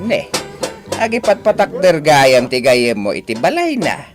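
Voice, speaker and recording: Scolding old Ilocano father, high-pitched. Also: sing-song, unhurried, music in the background. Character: scolding, plain-spoken old father, exasperated with his son. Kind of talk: Ilocano radio drama acting.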